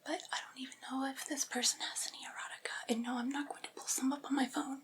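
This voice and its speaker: Vampire's familiar, played by a woman: high, breathy whisper, patient and coaxing, macabre tastes, soothing ASMR roleplay. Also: level tone, fast talker. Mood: gently teasing. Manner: coaxing, soothing, chatty, gently teasing.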